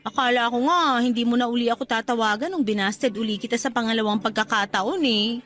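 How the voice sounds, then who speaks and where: A woman's voice: on the high side, a little fast, fairly bright tone, close to an everyday voice. A woman acting as a playful but hesitant young girlfriend in a Tagalog radio drama. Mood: tender, teasing.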